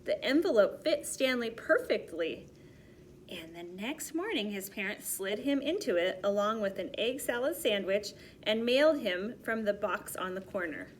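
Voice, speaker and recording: A woman reading a children's story aloud expressively, pausing to explain things simply to young listeners. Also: mid-range voice, slight background noise.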